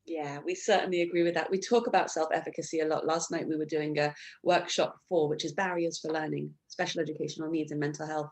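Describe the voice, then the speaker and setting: A woman's voice: sits low, fairly even intonation, fast, few pauses. A woman hosting an education interview, enthusiastic and fond of tag questions. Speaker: podcast host. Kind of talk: conversational interview.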